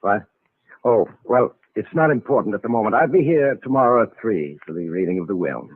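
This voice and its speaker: An actor playing a solemn, suspicious estate lawyer with a formal, stiffly courteous manner in an old radio drama. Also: male voice, on the low side, expressive, brisk, slight background noise, close to an everyday voice. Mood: polite, distracted. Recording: vintage radio recording, narrow phone-like band.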